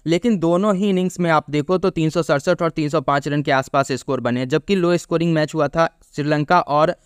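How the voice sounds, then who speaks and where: A man giving a cricket pitch report and match preview, reading out scores steadily with brief restarts. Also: fairly quick, clean tone, no echo, studio quality. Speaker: cricket analyst.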